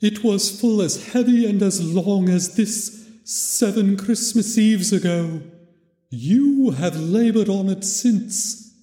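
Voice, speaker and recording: Mournful ghost of an old miser, high slow wail, huge cavernous reverb, storybook style. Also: male voice, dark tone. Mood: mournful, lamenting. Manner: slow, drawn-out, solemn wailing delivery. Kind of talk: dramatized storybook reading. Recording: very echoey, long reverb.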